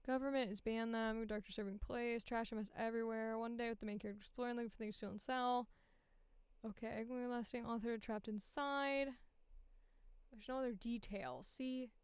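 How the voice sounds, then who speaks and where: High, clear-voiced woman chatting casually. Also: fairly even intonation, moderate pace, no echo. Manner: informal, thinking aloud. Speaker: voice actor, streamer. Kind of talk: casual livestream chat, reading aloud and commenting.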